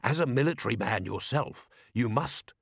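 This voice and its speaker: An ingratiating gentleman in an audiobook performed by a man. He sounds smug, at a middle pitch, in a dry recording. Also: lively intonation, normal speed, vocal fry, breathy edge, no echo. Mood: smiling, placating. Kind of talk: audiobook character voice.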